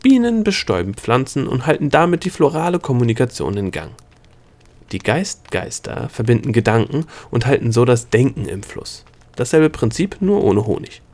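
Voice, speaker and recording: A man reading an audiobook, voicing an excitable male gnome who lectures and cackles. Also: medium pitch, moderate pace. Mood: lecturing. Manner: cackling, lecturing, worked up into a rage. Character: excitable male gnome with color-changing scales.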